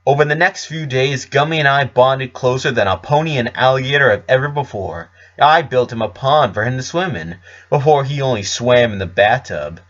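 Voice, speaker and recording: A man's voice: medium pitch, normal speed, a bit bright. A man narrating a scripted story in a storybook reading style. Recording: slightly dull, lo-fi recording.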